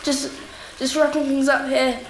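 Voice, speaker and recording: A woman's voice: high voice, level tone, a little hiss or hum. A woman playing a frightened, dramatic young gamer boy who stammers, in an audio drama. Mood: frightened, ashamed. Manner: stammering, halting. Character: terrified, dramatic young gamer boy, self-confessed coward. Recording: echoey small room.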